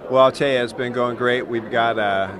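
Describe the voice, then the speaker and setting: A man's voice: medium pitch, fairly even intonation, normal speed. A middle-aged man, organizer of the event, interviewed on the show floor, upbeat and full of uh fillers. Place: busy indoor trade show. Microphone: handheld interview mic. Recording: crowd chatter behind.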